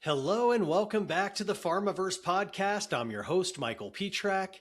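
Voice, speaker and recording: A man opening his podcast with an upbeat, polished welcome. His voice sits a little higher than most men's, and he talks like a practiced host. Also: lively intonation, moderate pace, faint music behind. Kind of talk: podcast introduction.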